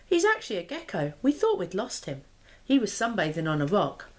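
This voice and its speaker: A woman reading aloud as a friendly, excited little boy in a children's storybook. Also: mid-range voice, fairly quick.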